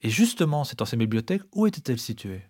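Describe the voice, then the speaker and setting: A man's voice: medium pitch, sing-song, fast talker, darkish tone, husky. A man co-hosting a podcast with scripted, explanatory narration.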